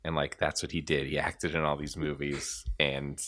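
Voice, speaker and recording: A man's voice: a bass voice, flat delivery, normal speed, fairly bright tone. A man hosting a podcast in casual chat, rambling and hesitant with lots of fillers and restarts. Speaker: podcast host.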